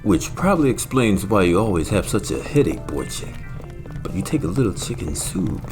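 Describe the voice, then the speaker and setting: A griping, wisecracking cartoon sidekick, voiced by a man. His voice is lowish and very animated, folksy and wry, with clear music underneath. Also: moderate pace. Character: wisecracking, kvetching American pilot sidekick.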